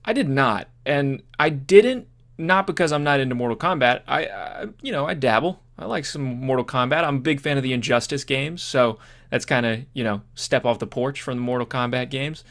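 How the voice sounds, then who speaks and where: A man's voice: medium pitch, normal speed, a bit bright. A man talks as a podcast co-host in a casual review style. His delivery is loose and conversational, often rambling and circling back as he works toward his point. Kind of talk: casual film review chat.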